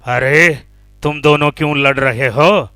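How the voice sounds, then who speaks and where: Man doing a slow, gloating lion king. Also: fairly high pitch, fairly even intonation, sharp tone, clean tone, no echo, clean studio sound. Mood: commanding. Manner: gloating, commanding. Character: hungry, scheming male lion king, self-important.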